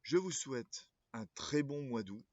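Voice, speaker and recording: Husky-voiced man giving a formal political address. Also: medium pitch, lively intonation, a little slow, a little mellow, no room sound, highs cut off. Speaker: local political candidate. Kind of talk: scripted political address read to camera.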